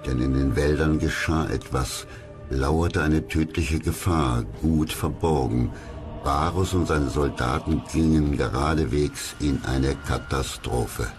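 A man with an extremely deep voice narrates a historical documentary. He is solemn, measured and dramatic, with music clearly playing behind him.

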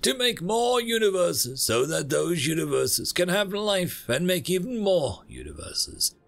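A man acts out the universe itself as a bashful cosmic being in an audio story. The voice sits high and swings up and down, stammering politely and apologetically as it explains itself. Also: sing-song, a little slow, gravelly, a bit bright, exaggerated voice. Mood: earnest.

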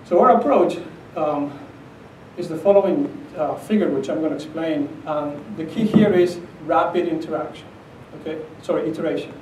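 A man's voice: on the high side, normal speed, a little mellow, a little hiss or hum. Argentine man lecturing in an echoey hall. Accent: Argentine Spanish. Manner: emphatic, conversational, often checks with "okay?". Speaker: conference speaker. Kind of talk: academic conference talk. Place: conference room with projector screen. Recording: echoey conference room, captured from a distance.

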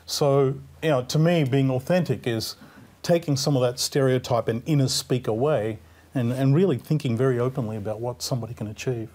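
A man in his fifties tells a personal story on a studio panel, speaking into a lapel mic. His voice sits in the middle range for a man. He sounds reflective and laughs softly now and then. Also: medium pitch, brisk. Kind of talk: panel discussion.

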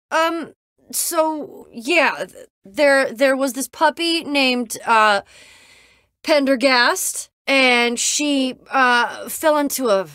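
A woman playing a guarded, sensitive young woman adventurer in a video game, with halting, emotional, conversational delivery. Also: fairly high pitch, a bit bright, no echo, clean studio sound. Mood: incredulous, flustered.

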